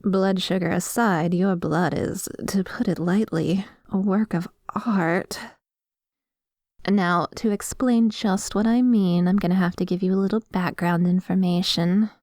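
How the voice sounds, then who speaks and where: Woman doing a dominant vampire lady asmr roleplay, really slow n deliberate, gravelly fry, coy and kinda menacing. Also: mid-range voice, mellow, no room sound, studio quality. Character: dominant, possessive female vampire posing as lab tech.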